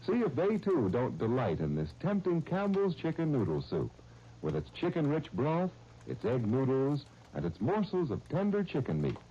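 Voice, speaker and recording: Animated 1930s radio announcer, a man. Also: a little high, normal speed, a little hiss or hum. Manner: polished, emphatic. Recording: dull 1930s radio broadcast.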